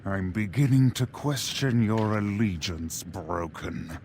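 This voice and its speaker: Slow, imperious demon lord. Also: male voice, fairly low pitch, a little mellow, slight background noise. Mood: menacing, displeased. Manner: slow, commanding, scornful. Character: menacing, imperious male demon lord, suspicious of underlings. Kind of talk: fantasy video game voice acting.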